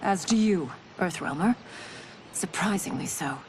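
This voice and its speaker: A woman's voice: fairly low pitch, animated, unhurried, slight background noise. A woman playing a proud, determined young warrior princess in a video game, speaking formally and resolutely. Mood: grudgingly respectful. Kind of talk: dramatic video game cutscene acting.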